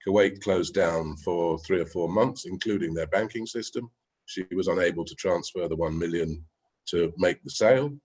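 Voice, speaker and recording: Husky low-voiced man on a webinar. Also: a little mellow. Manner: measured, with frequent "yeah?" tags. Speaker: real estate agent. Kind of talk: webinar panel talk. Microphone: video call.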